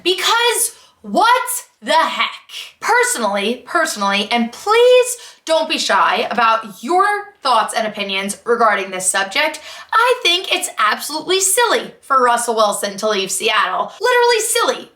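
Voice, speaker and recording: A young woman giving casual, opinionated sports commentary in a chatty, expressive, emphatic way with slangy asides, recorded in an echoey room at home. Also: high voice. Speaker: sports vlogger and podcast host.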